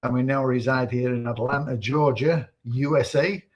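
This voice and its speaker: A man from Manchester, co-hosting a live stream and explaining in a relaxed way. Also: mid-range voice, normal speed. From Manchester, England. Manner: relaxed, explanatory, slight restarts. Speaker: co-host. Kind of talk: casual live-stream chat.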